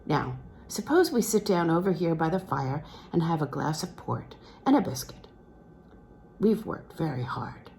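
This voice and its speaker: A woman's voice: deep voice, big pitch swings, a little slow, gravelly, darkish tone, full character voice. A woman plays a worldly male singer instructing his pupil in a storybook reading. He is genteel and indulgent, with widely moving intonation, over faint recording noise. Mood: instructing, courteous. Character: courteous, worldly, assured male concert singer and teacher. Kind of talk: storybook character reading from a literary novel.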